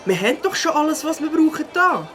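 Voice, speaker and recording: A sensible young cartoon beaver speaks with lively intonation. He is a sceptical, level-headed boy, with soft music behind him. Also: sits high, fast. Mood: doubtful. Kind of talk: cartoon character acting.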